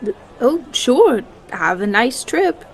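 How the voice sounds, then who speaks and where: Tabletop player, woman, higher register, casual in-character play, soft music behind. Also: sing-song, slow. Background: background music.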